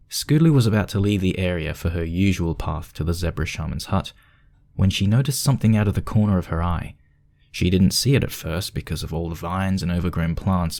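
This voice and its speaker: A man's voice: sits low, darkish tone, dry recording. A man reading scripted narration for a spooky fan fiction story.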